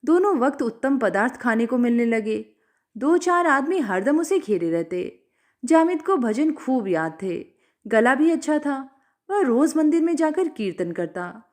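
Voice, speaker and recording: A woman narrates a written story in a high voice. Her delivery is expressive and very lively in intonation, as in an audiobook performance. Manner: expressive, acts out dialogue. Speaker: storyteller reading short fiction aloud.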